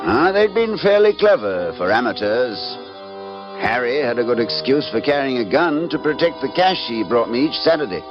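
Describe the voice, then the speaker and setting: An actor playing a troubled, darkly wry husband in an old-time radio drama, confessing in a theatrical, halting way. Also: male voice, mid-range voice, sing-song, natural voice. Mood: bitter, wry. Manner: theatrical, halting with repeated words. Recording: dull vintage radio recording with music.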